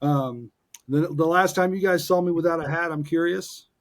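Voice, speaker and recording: A man's voice: a little high, normal speed. A man in his forties co-hosting a podcast, chatting casually and upbeat, ribbing his co-host with playful jokes. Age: 40s. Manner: upbeat and joking, with many fillers and restarts.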